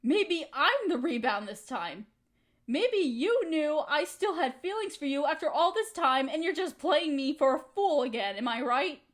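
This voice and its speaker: Actress for bitter, hurt young woman, high-pitched, emotional audio drama monologue, confrontational and accusing. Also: big pitch swings, measured pace. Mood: hurt, resentful. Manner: emotional, accusatory, pointed rhetorical questions. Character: hurt, resentful young woman confronting her longtime roommate.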